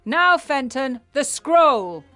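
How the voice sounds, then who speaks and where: High-voiced warrior commander. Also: female voice, very animated, takes it slowly, a bit bright, soft background music, heavily acted. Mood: rallying. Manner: declamatory, assertive. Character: proud, headstrong female warrior commander. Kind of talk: dramatic video game acting.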